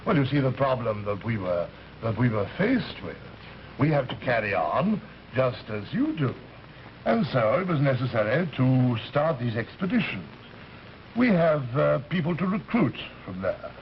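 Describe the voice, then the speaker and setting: Genteel, creaky-voiced alien gentleman. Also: medium pitch, very animated, normal speed. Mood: patient explaining. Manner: polite, pompous, hesitant, rambling with false starts. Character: urbane, genteel alien recruiter posing as an Earthman. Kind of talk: old-time radio drama acting. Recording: muffled, hissy old radio recording.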